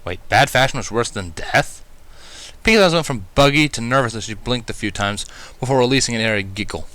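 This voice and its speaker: A man reads fiction aloud in a bright, lively voice. His narration is wry and expressive, with a widely moving pitch and the odd stumble. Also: a little fast, dry recording. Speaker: fan fiction reader. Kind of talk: expressive first-person story narration.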